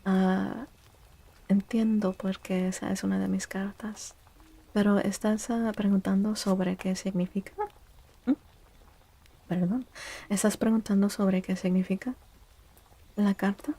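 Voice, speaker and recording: A woman livestream host speaking softly in an ASMR style, hushed and gentle, often slipping into a near-whisper close to the microphone. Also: medium pitch, steady tone, normal speed, pauses often. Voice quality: soft, breathy. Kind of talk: soft-spoken ASMR livestream chat.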